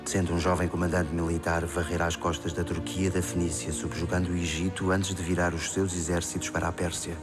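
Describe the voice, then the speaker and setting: Guy doing self-satisfied genius tycoon, very low even voice, formal, european portuguese, comic dub. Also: a little fast, hardly any pauses, loud music behind the voice, close to an everyday voice. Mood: reflective. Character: serene, grandiose genius tycoon in comic drama.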